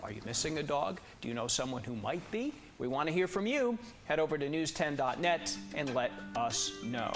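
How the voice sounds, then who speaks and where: A man's voice: on the high side, big pitch swings, normal speed, hardly any pauses, faint music behind. An American man reading scripted TV news as an anchor, with emphatic delivery. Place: TV news studio.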